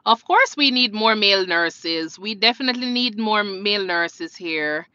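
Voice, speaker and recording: A woman's voice: medium pitch, expressive, moderate pace, pushed and bright, no echo, muffled recording. A woman with a Jamaican accent hosting a casual live question-and-answer stream, chatting conversationally and addressing viewers directly. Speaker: live stream host, nurse.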